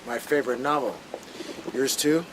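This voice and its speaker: A man playing a courteous schemer, mid-range register, measured, dry irony, placating, radio drama, slightly noisy recording. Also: mid-range voice, close to an everyday voice. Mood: guarded politeness. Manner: polite, measured, dryly ironic. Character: smooth, wry negotiator, polite and calculating.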